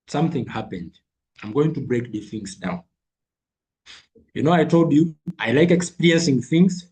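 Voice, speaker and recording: Male trading coach, husky with a cold, repeats key points to a call. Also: medium pitch, darkish tone. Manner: repeats figures and phrases for emphasis. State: has a cold. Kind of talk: live online trading lesson.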